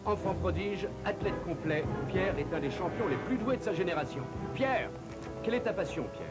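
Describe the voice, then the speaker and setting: Cartoon announcer: dramatic introductions, slightly high voice, music bed. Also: male voice, lively intonation, moderate pace. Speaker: narrator.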